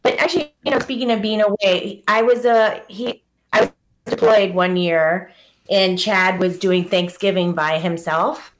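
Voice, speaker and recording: A woman's voice: mid-range voice, unhurried, poor audio. A young adult woman from Hawaii, chatting casually as a podcast guest and telling stories with animation. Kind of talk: casual chat.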